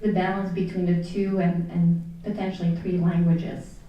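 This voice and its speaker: Young belgian woman, dutch native, asking a question at an event, soft dark tone, super roomy far off mic. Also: fairly low pitch, level tone, moderate pace, highs cut off. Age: young adult. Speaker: audience member asking a question. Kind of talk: conversational spoken question. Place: indoor public event. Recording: echoey room, distant handheld microphone.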